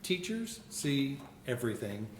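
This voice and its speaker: A man voicing a patient, kind woman schoolteacher who speaks calmly and reassuringly. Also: medium pitch, a little slow, slight background noise. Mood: gentle. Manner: calm, gentle, reassuring. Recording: roomy echo.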